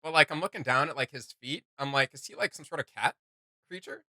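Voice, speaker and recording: A man's voice: mid-range voice, quick pace, pushed and bright, no room sound, clean studio sound. A man hosting a reaction video, chatting casually with slangy enthusiasm.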